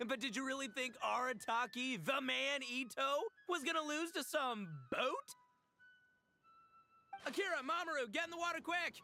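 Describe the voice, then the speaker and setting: A man's voice: very high-pitched, normal speed, creaky, pushed and bright, heavily acted. A man playing a cocky, boastful big gang boss in a video game, with brash, slangy swagger. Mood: boastful, rowdy. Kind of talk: video game character acting. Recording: game music behind.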